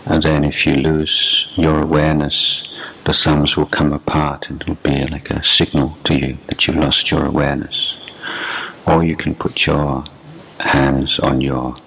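A man with an extremely low voice leads a meditation practice. He speaks slowly and deliberately, leaving long pauses between short phrases. His delivery stays very even in pitch, like unscripted instruction from a teacher. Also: slight background noise, poor audio. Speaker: meditation teacher, Buddhist monk. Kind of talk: guided meditation instruction, unscripted dharma talk.

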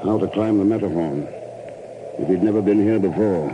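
An actor playing a cool, wry, probing secret agent in a 1950s radio drama. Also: male voice, sits low, normal speed, natural voice. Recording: noisy, muffled old radio broadcast.

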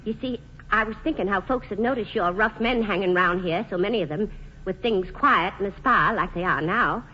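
A woman's voice: medium pitch, moderate pace, pushed and bright, lightly acted. An actress playing a shrewd, plucky small-town mother in a radio play, speaking in a folksy, firm way. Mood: careful, persuasive. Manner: folksy, plain-spoken, firm. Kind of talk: 1940s radio play acting. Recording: muffled, noisy 1940s radio recording.

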